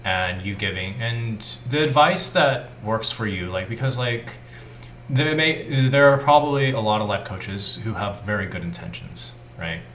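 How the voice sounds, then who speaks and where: A man co-hosting a casual podcast chat, talkative and quick to laugh, with many "like" fillers. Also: fairly low pitch, a bit bright. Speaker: podcast co-host. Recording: roomy echo, faint noise.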